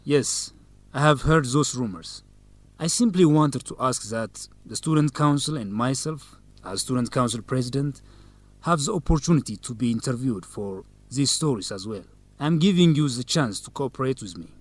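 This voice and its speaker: An actor playing a manipulative young student council president in a radio drama, formal, measured and insistent. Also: male voice, mid-range voice, expressive, no echo, natural voice. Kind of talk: educational radio drama acting.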